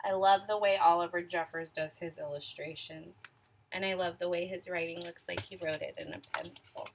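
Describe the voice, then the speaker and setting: A woman reading a picture book aloud to children in an expressive way, stopping to ask her young listeners questions and sometimes dropping to a hush for suspense. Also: lowish voice, normal speed, a little hiss or hum, rough recording.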